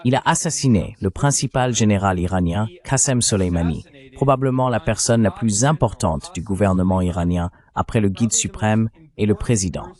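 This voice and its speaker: A man's voice: on the low side, moderate pace, hardly any pauses, a little mellow, natural voice. A man voicing a French translation of a political analyst's explanatory interview commentary.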